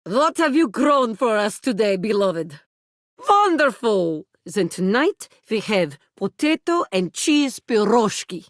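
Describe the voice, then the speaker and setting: Slow, doting Russian-accented woman. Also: mid-range voice, very animated, no room sound, clean studio sound. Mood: tender, romantic. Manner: tender, formal broken English. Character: doting, sultry, effusively loving Russian woman sweetheart. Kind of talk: video game character voice acting.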